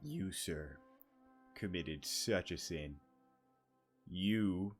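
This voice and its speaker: A man's voice: low voice, very slow, breathy edge, faint music behind. A young man giving casual let's play commentary, slangy, sweary and joking. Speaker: gaming YouTuber.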